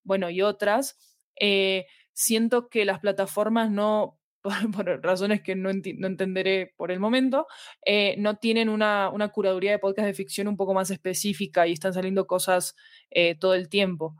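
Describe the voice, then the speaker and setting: A woman speaks as a podcast guest in a casual conversation. Her pitch sits in an average range and she qualifies her points thoughtfully as she goes. The recording is dry, with very little room around the voice. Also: steady tone, moderate pace, no room sound. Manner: thoughtful, hedging, self-qualifying. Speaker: podcast guest, fiction editorial manager.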